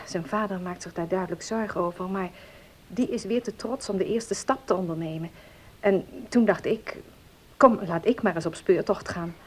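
Radio drama casting: actress for troubled young woman, polite and hesitant, formal diction, husky, breathy voice. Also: mid-range voice, a little mellow, close to an everyday voice. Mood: polite, earnest. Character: earnest, troubled young orphaned woman seeking help. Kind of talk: Dutch radio play acting.

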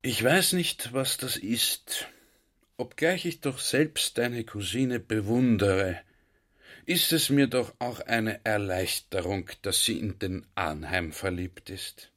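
An actor playing a talkative, earnest army general in a radio drama, confiding and baffled by ideas. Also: male voice, lowish voice, slow pace, fairly bright tone. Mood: confiding, sentimental.

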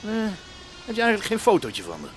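A man's voice: sits high, huge pitch swings, quick pace, slight background noise. An actor playing a chatty, nosy man in a radio play, talking hesitantly and tagging his remarks with questions. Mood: nosy, coaxing. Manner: hesitant, rambling, full of tag questions. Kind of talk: naturalistic Dutch radio play dialogue.

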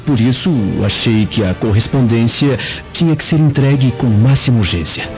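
A man's voice: mid-range voice, animated, moderate pace, a little mellow, lightly acted. A man playing an earnest young slave in a radio drama, explaining himself carefully and deferentially. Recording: dull lo-fi audio with music.

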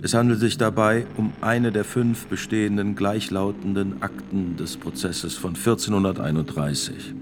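An actor playing a grave priest and notary of sixty-six, testifying in a radio drama with gravelly, deliberate, formal speech. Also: male voice, fairly low pitch, steady tone, over music, lightly acted. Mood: formal, measured. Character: sixty-six-year-old male priest and court notary, grave witness.

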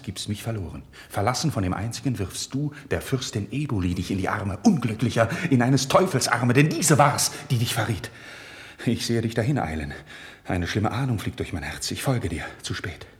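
An actor in a 1950s German radio drama playing a noble, self-sacrificing friend, speaking verse in a solemn, impassioned and declamatory way. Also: male voice, lowish voice, lightly acted.

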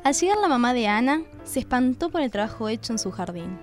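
A woman narrates a radio play with an Argentine accent. Her voice is fairly high and follows a script, with music clearly playing behind her. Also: sing-song, fairly quick.

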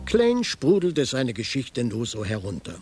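An actor playing a dry, observant detective who narrates his case in a radio crime play with wry, measured storytelling. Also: mid-range voice, wildly swooping pitch, darkish tone, faint music behind. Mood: dry, matter-of-fact. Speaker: first-person narrator.

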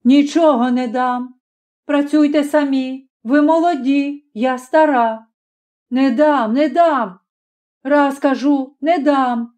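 A woman voicing a shrill scolding old peasant mother. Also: takes it slowly, hardly any pauses. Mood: furious. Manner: scolding, shouting.

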